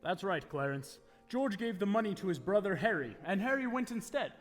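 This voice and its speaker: An actor playing a calm, kindly narrator in an old-time radio play. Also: male voice, sits high, fairly quick. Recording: echoey hall with music behind.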